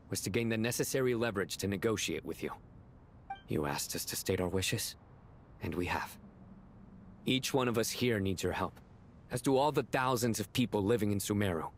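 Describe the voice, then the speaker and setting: A stern general acted by a man with a low voice and blunt, formal delivery. He sounds serious and dutiful, like fantasy game dialogue, with a faint rumble behind. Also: normal speed, lightly acted. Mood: grave, resolute. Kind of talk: game voice acting.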